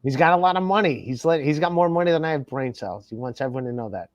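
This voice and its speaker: A man's voice: fairly high pitch, lively intonation, quick pace, no room sound, muffled recording. Rambling, profane man hosting a podcast. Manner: profane, rambling, reads comments out loud. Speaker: podcast host. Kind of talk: casual chat reading super chats aloud.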